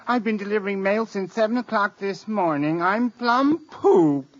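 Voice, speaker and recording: A meek, tiny mailman from a 1940s radio comedy , played by a man, sounding thoroughly worn out. He drags out his words feebly. The old broadcast is muffled and buried in loud noise. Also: high-pitched, natural voice. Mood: weary. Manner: feeble, weary, drawn-out.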